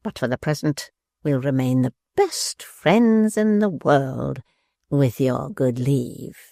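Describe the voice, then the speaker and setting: A man's voice: mid-range voice, lively intonation, slow, vocal fry, dark tone, no echo, clean studio sound. A man voicing a spiteful, bullying male dwarf in an audiobook, sneering and menacing. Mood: scheming. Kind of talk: audiobook character voice.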